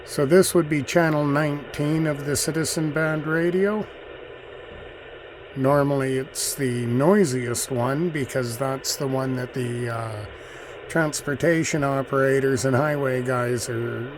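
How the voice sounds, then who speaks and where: A man giving casual, unhurried, musing commentary as he demonstrates radio listening as a hobbyist host. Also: mid-range voice, fairly even intonation. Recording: clear radio static and noise. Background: shortwave radio hiss and chatter.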